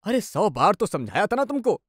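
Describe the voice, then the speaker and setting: A man's voice: high voice, sing-song, normal speed, breathy edge, dry recording, clean studio sound, heavily acted. A man playing a concerned young husband, earnest and insistent, in a cartoon moral story. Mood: scolding.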